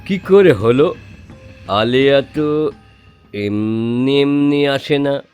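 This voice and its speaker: An actor playing a blunt, probing tantric guru in an audio drama, speaking with authority. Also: male voice, medium pitch, drawn-out words, darkish tone, clean tone, faint music behind. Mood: grave, probing. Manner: authoritative, interrogating.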